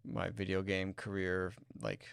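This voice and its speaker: A man co-hosting a podcast, giving relaxed, thoughtful opinions in casual chat. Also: low voice, measured pace, gravelly, clean tone, no echo. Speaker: podcast co-host.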